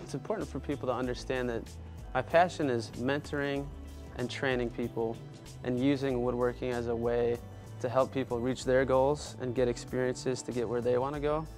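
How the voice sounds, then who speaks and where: A man's voice: medium pitch, fairly even intonation, normal speed, a little mellow, soft background music. A man in his thirties talking earnestly and upbeat about his furniture business in a casual interview, recorded in a workshop on a lapel mic. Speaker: small business owner.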